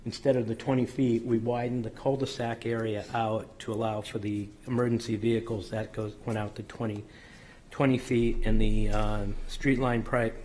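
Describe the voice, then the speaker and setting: A man presenting a subdivision plan at a public board meeting, methodical and technical with frequent ums and self-corrections, his voice slightly hoarse. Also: lowish voice, darkish tone, a little hiss or hum. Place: city council chamber.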